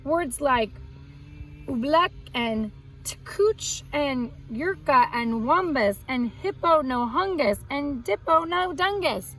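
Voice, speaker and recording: High-pitched woman reading aloud to children. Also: takes it slowly, poor audio. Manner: expressive, explains words simply for young children. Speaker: children's read-aloud host and art teacher. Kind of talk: reading a picture book aloud to children, with asides.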